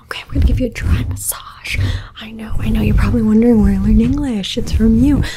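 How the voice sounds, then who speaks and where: A woman voicing a playful, doting pet cat in an ASMR roleplay, whispering softly and meowing between her words. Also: a little high, steady tone, fast, noisy background, natural voice. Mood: whispery, playful. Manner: soft whispering with repeated meows.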